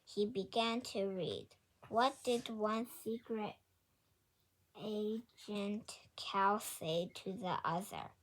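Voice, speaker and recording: A woman reading a children's picture book aloud haltingly, word by word, with stumbles and self-corrections. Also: medium pitch, poor audio. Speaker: storybook reader.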